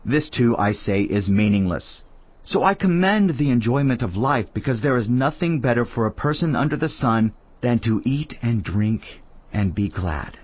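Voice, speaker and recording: A man playing a weary, reflective teacher of wisdom in a dramatised audio Bible, husky-voiced, with a measured, earnest, declamatory delivery. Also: mid-range voice, expressive, dry recording, muffled recording, lightly acted. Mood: solemn, reflective. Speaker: scripture narrator. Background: music.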